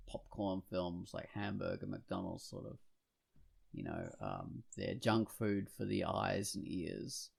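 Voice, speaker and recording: A man's voice: fairly high pitch, gravelly, darkish tone. A man talking casually as a podcast co-host, hesitant and rambling as he thinks aloud. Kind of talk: casual discussion of films and comics.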